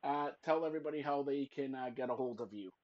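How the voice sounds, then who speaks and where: A man hosting a wrestling podcast, talking loudly and teasing his guests, rambling with lots of fillers. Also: mid-range voice, fast, dull audio. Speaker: podcast host. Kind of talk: loud joking wrestling podcast chat.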